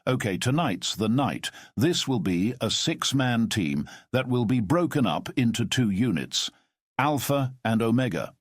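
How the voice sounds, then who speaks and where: A man voicing a calm, commanding middle-aged former spy who speaks in a measured, formal way. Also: mid-range voice, no room sound, studio quality. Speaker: storyteller. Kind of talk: audio drama storytelling.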